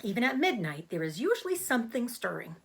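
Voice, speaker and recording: A woman reading a children's book aloud like a teacher, narrating expressively and clearly for young listeners. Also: on the high side, fast talker. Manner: expressive, explains hard words. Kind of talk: storybook read-aloud narration.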